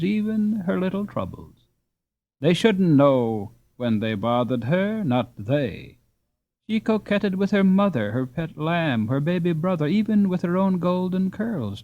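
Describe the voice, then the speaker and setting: A man reading a classic children's novel aloud in an expressive, lilting storybook manner. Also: a little high. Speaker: audiobook narrator. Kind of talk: scripted storybook narration. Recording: dull lo-fi recording.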